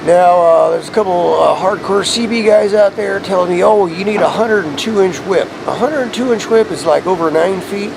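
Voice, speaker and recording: Casual male vlogger, slightly high-pitched with a creaky edge, rambling explainer delivery, outdoor ambient noise. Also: moderate pace, hardly any pauses. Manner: laid-back, conversational, explanatory. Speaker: vlogger showing a DIY radio antenna install. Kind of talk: casual rambling vlog talk to camera. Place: outdoors beside his truck.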